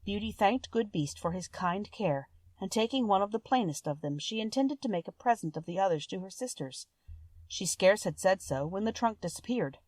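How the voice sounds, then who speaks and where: A woman's voice: on the low side, darkish tone, no echo. Woman narrating a storybook, very dry sound.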